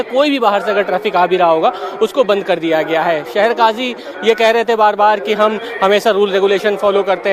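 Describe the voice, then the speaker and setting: A man giving a live television field report as a news reporter on a street. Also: sits high. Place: outdoors on a crowded city street. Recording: loud crowd noise around voice. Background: crowd.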